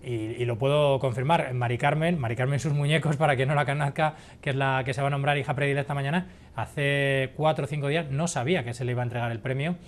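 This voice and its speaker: A man reporting in a studio news conversation, explaining with measured hesitations. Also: medium pitch, fairly even intonation, slight background noise.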